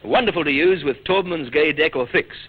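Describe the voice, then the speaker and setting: A man's voice: fairly high pitch, a little fast. A man hosting a vintage radio quiz show with playful, teasing banter. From Australia. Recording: dull old radio recording, noisy studio audience.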